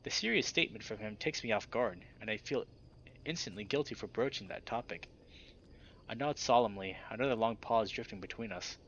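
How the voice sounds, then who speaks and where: A man's voice: on the low side, animated, brisk, vocal fry, fairly bright tone, lo-fi sound, a little hiss or hum. A man reading first-person visual novel narration in a dramatic, scripted storytelling style.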